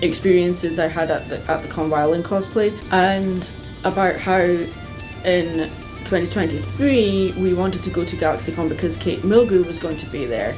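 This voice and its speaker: Woman, slightly low voice, casual vlog storytelling, chatty and expressive, fannish enthusiasm, music playing behind. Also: normal speed, poor audio. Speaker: vlogger. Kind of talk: casual personal storytelling to viewers.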